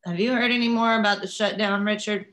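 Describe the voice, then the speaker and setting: A woman's voice: mid-range voice, steady tone, quick pace, fairly bright tone. A woman chatting casually before a radio show. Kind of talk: casual pre-show chat. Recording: echoey room.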